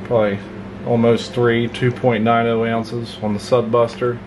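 A man casually reviewing a pocket knife at his home workbench, relaxed and folksy, with plenty of filler words. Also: mid-range voice, unhurried. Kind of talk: casual hands-on product review. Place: home workshop desk, indoors. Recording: clear hum of room noise.

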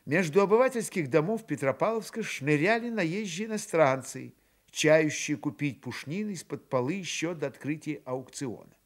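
A man's voice: fairly high pitch, lively intonation, moderate pace. A man narrating a literary radio drama in a storytelling style.

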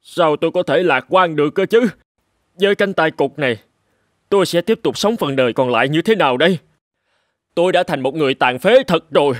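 A man's voice: fairly high pitch, brisk, no room sound, studio quality, close to an everyday voice. A man voicing a bitter, despairing wounded soldier in a cartoon. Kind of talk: cartoon dubbing.

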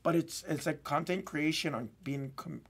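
A man's voice: fairly high pitch, moderate pace, vocal fry, background noise. Rambling Hawaiian man chatting on stream. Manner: rambling, repeats words, laughs often. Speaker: livestream host of card breaks. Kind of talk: casual rambling chat.

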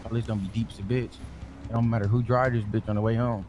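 Fast-talking combative man, slangy and profane. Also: lowish voice, little pitch movement, a little mellow, faint music behind. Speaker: online roleplay gamer. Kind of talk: in-character street banter in a crime roleplay game.

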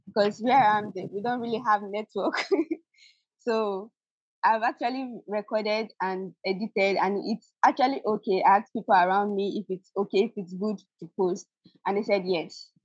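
A woman on a video call about starting a baking show, hesitant and nervous, stammering and laughing softly. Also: medium pitch, fairly even intonation, moderate pace, husky, no room sound. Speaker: caller, aspiring baker. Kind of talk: coaching call. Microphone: video call, audio only. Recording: dull lo-fi call audio.

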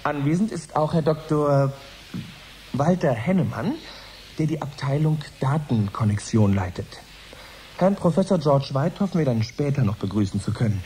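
An actor playing a courteous radio science reporter, presenting a live broadcast in a formal, measured way. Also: male voice, mid-range voice, animated, mellow, natural voice. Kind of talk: radio play styled as live news broadcast. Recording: noisy 1980s radio recording.

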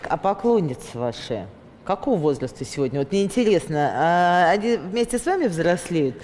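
A woman's voice: on the low side, very animated, moderate pace, a crowd in the background, background noise. A middle-aged woman hosting a studio talk show, asking curious questions. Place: TV studio with audience. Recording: reverberant studio with audience.

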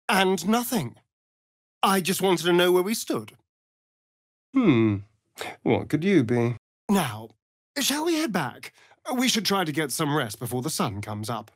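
An actor playing a sardonic, flirtatious vampire elf rogue in a video game, with an arch, droll, theatrical delivery. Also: male voice, a little high, dry recording, clean studio sound, exaggerated voice. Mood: wry, musing. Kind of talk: video game character voice lines.

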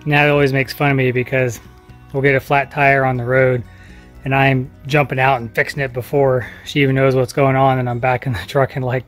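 A man in his thirties vlogging outdoors on a homestead, talking casually as he works on a repair, filmed with the camera held at arm's length. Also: mid-range voice. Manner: easygoing, plainspoken, explaining as he works. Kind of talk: casual how-to vlog. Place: outdoors on a farmyard. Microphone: camera mic, selfie at arm's length.

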